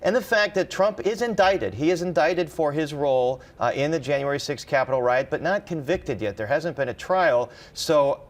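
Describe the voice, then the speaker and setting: A man giving conversational political analysis on a news panel, halting at times and stressing his key points. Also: on the high side.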